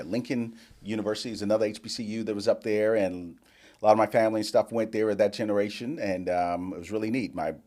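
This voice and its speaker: An older man who grew up in the Bahamas, a guest in a casual interview, telling his life story with lively quoted dialogue. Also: on the low side. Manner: storytelling, animated quoting of others.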